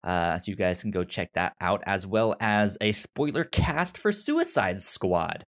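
Male podcast host, casual reviewer. Slow, halting delivery with frequent stammered repeats. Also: sits low, sing-song.

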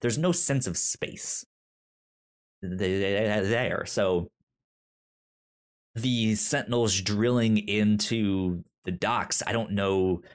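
A man's voice: on the low side, slow pace, long pauses. Stammering man on a podcast call. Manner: stammering, many restarts. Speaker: podcast co-host. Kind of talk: casual film discussion podcast. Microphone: remote call.

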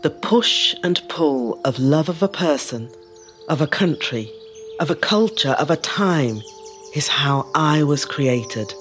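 A woman playing a resilient middle-aged woman telling her life story in a measured, reflective dramatic monologue. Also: sits low, expressive, soft background music. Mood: fond, nostalgic. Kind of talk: scripted dramatic monologue, first-person storytelling.

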